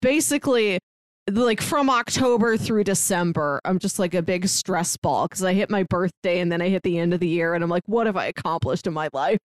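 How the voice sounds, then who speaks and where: A woman's voice: lowish voice, a little fast, no echo. A woman co-hosting a podcast in a casual, conversational way. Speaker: podcast co-host.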